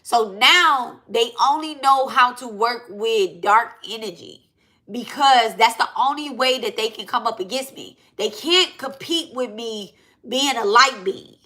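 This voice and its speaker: A woman in her late thirties speaks in a bright, slightly high voice. She talks unscripted to her live audience about spiritual matters, blunt and animated. She peppers it with slang and checks in with "right?" and "okay?". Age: 30s. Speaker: spiritual reader and channel host.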